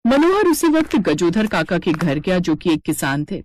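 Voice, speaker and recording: A woman's voice: lowish voice, very animated, moderate pace, darkish tone, no room sound. A woman narrating a scripted story in a storytelling style.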